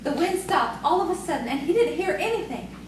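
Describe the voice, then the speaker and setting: A woman's voice: very high voice, lively intonation, fairly quick. A woman playing a coy, proud young farmer's daughter in audio theatre, with an earnest storytelling manner. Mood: earnest, suspenseful. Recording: distant, very echoey, noisy stage recording.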